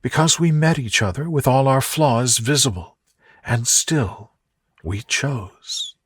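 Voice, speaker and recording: A man voicing a tender, thoughtful young gentlewoman in love, murmuring softly for a romantic bedtime story. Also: medium pitch, slow pace, breathy edge, dry recording, voice pushed up.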